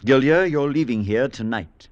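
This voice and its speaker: An actor playing a steady, practical clergyman friend, firm and reassuring, in 1940s radio acting. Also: medium pitch, normal speed, no echo, highs cut off, natural voice. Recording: old radio play with music underneath.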